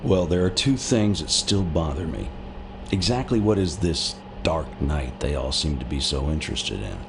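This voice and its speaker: An actor playing a blunt intelligence officer giving a businesslike wartime briefing in a video game. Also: male voice, very deep voice, animated, normal speed, vocal fry, noisy background, exaggerated voice. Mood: concerned, blunt. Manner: businesslike, deferential, plain-spoken.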